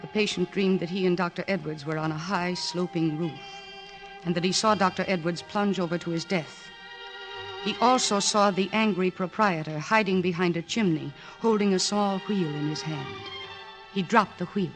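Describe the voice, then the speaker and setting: An actress playing a composed, determined young woman psychiatrist in an old-time radio drama, speaking in a measured, articulate, earnest way. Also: fairly low pitch, lightly acted, low-quality recording. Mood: measured, careful. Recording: restored vintage radio, orchestral music behind.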